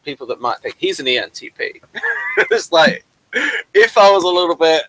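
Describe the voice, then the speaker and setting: A man's voice: high-pitched, huge pitch swings, normal speed, a bit bright, poor audio. A man hosting a casual interview, rambling and chatty and addressing viewers as folks. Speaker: host and playwright.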